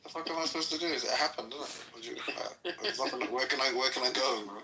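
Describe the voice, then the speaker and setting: A man chatting casually in a slangy, halting, informal way. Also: mid-range voice, noisy background. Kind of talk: casual chat.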